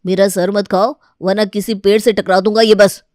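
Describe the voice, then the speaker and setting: A woman voicing a fed-up, rude male bus driver who snaps rapidly and threateningly at passengers in a story. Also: medium pitch, level tone, darkish tone, dry recording, studio quality, close to an everyday voice. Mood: irritated.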